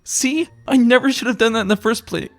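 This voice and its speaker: A man's voice: very high-pitched, fairly quick, a bit bright, soft background music, pitched above the performer's own voice. A man voicing a nervous, lovestruck young male pony medic, stammering and apologetic as he blurts things out in a dramatic reading. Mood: mortified.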